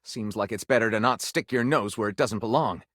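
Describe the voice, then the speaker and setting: An actor playing a theatrical, enthusiastic male author pitching his stories as a dating-sim game character. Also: brisk, fairly bright tone, no echo, studio quality. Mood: musing, dramatic. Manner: theatrical, flamboyant, dramatically announcing titles.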